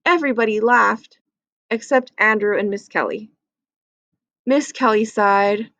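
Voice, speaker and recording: A woman's voice: mid-range voice, measured pace, fairly bright tone, dry recording. A woman reading a children's book aloud as a storyteller.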